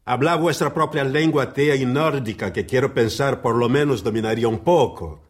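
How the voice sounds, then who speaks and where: An actor playing a proud, weary writer with a haughty, biting manner in a radio play. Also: male voice, mid-range voice, fairly quick, close to an everyday voice. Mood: angry, disdainful. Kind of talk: radio play acting in Spanish.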